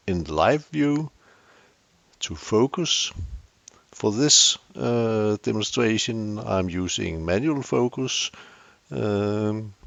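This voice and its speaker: Older Danish man, gravelly voice. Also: fairly low pitch, no room sound, muffled recording. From Denmark. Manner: dry self-deprecating humor, deliberate explanations. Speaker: tutorial host. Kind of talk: camera tutorial demonstration.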